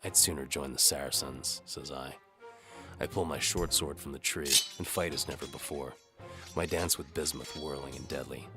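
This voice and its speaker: A man narrating an audio adventure in the first person as its hero, with a wry, hard-boiled, dramatic delivery. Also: a bass voice. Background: music under the voice.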